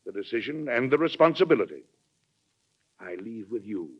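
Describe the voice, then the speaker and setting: Firm American president, muffled old radio. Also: male voice, medium pitch, big pitch swings. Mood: grave, persuasive. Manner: formal, authoritative, deliberate persuasion. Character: firm, weary, principled male American president. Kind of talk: 1940s radio drama acting. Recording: muffled 1940s radio recording.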